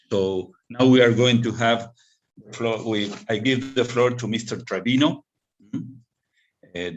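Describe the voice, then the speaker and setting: A man from Argentina, a Spanish speaker moderating an online webinar in halting English with fillers. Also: fairly low pitch.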